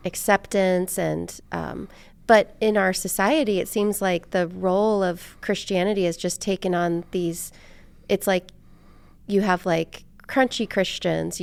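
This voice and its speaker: A woman's voice: lowish voice, vocal fry, clear, resonant tone, no echo. A woman hosting a podcast interview in a casual, chatty and anecdotal way, with informal phrasing. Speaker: podcast host.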